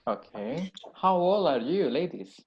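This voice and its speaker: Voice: medium pitch, big pitch swings, measured pace, lo-fi sound, a little hiss or hum. An examiner running a speaking exam with short prompting questions.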